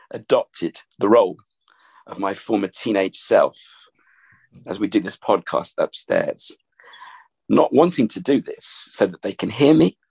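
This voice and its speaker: Middle-aged male podcast host, low gravelly husky voice, reflective and rambling. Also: low-quality recording. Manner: thoughtful, rambling with restarts. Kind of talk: casual reflective chat. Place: upstairs room in family home.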